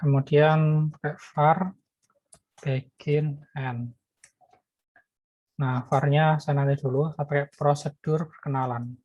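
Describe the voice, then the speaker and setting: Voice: medium pitch, fairly even intonation, slow, pauses often, darkish tone. A lecturer walks through code as he types it, thinking aloud and often stopping to restart a phrase. The sound is dull and close, with no room echo, as from a computer mic. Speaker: programming lecturer. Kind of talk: live coding lecture.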